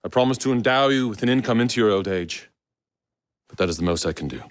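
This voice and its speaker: Man acting a stern Victorian gentleman, very low voice, formal solemn phrasing, dry close recording, video game delivery. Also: lively intonation, fast talker, no echo, lightly acted. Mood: formal, grave.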